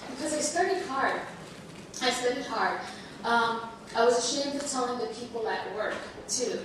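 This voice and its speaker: A woman whose first language is Spanish, a bit under the weather, telling her story to an audience in an earnest, halting way with many restarts. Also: on the high side. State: under the weather, slight cold. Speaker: panel speaker. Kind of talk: personal talk to an audience. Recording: echoey hall, far from mic, noisy.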